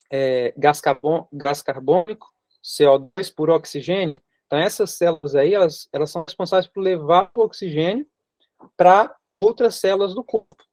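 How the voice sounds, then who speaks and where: A man's voice: a little high, darkish tone, dry recording. A young Brazilian man giving an informal health lesson, often repeating himself and adding fillers to stress his points. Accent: Brazilian Portuguese. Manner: repeats and rephrases for emphasis, frequent fillers. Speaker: health teacher. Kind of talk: informal teaching talk.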